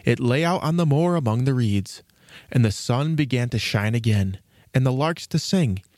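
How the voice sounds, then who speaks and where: A man narrating a classic fairy tale in an expressive, old-fashioned storytelling manner. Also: medium pitch, normal speed, dry recording, studio quality.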